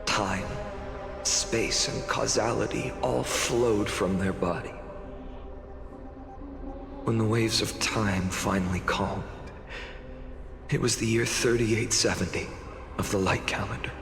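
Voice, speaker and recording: A male actor playing a solemn young swordmaster hero in a game, narrating gravely and with measured weight. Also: on the low side, fairly even intonation. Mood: grave narration. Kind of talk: dramatic game narration and dialogue. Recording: roomy long reverb, music underneath.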